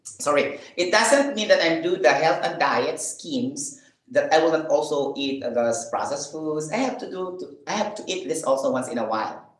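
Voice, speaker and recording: A man's voice: on the high side, expressive, normal speed, reverberant, poor audio. A man chatting casually in a vlog while he eats, rambling with fillers, asking himself questions and laughing. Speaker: vlogger.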